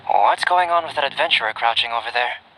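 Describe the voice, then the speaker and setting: A man voicing a calm, scholarly young alchemist in a video game, measured, reserved and articulate. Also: mid-range voice, rough recording. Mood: calm, concerned.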